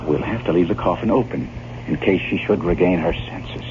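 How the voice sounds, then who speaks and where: A very deep-voiced gentleman speaks with formal, earnest insistence, as if bound by a grave promise. He is anxious yet resolute. The old radio recording is dull and clearly noisy. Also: brisk. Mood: urgent. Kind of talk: dramatic radio horror acting and first-person narration.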